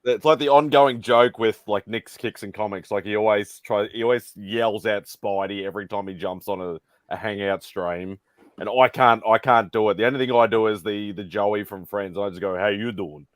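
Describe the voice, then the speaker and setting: A man in relaxed, casual conversation. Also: fairly low pitch, normal speed. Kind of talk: casual chat.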